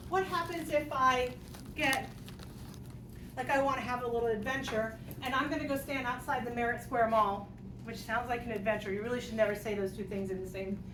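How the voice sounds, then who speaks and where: Playful high-voiced woman teacher, echoey classroom. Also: normal speed. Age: 50s. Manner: playful, jokey, talks through examples aloud. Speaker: math teacher. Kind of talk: classroom lecture. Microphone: distant room mic. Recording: echoey classroom, distant mic, noisy.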